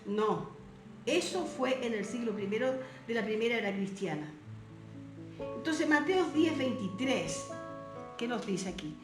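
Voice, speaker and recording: A woman's voice: fairly high pitch, animated, a little slow, pauses often, reverberant, music in the background. A woman whose first language is Portuguese, teaching a Bible seminar lesson, reading verses aloud and asking rhetorical questions.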